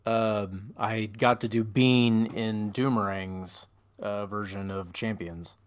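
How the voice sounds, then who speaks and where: A man's voice: fairly low pitch, steady tone, slow pace, smooth. A man speaking casually as a voice actor on an online panel. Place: online panel over voice call.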